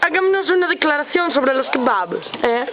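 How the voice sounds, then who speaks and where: A young woman playing a lively sidekick. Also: high voice, moderate pace. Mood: playful. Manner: playful, echoing and egging on her partner. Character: teasing woman from Galicia, sidekick. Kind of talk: improvised comic sketch. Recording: muffled home video with loud noise.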